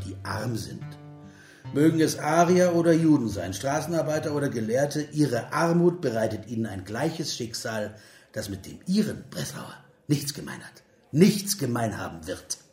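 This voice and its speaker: An actor in a radio play voicing a bitterly sarcastic political cynic of 1930s Germany, with a rounded, rough voice and biting, declamatory delivery. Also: male voice, mid-range voice, sing-song, normal speed, a little mellow. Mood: bitterly serious. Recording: roomy echo, music behind.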